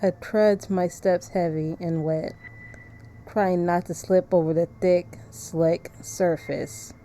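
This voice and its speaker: A woman's voice: lowish voice, fairly even intonation, slow pace, warm tone, slight background noise. A woman streaming a visual novel, chatting casually and reading the story text aloud.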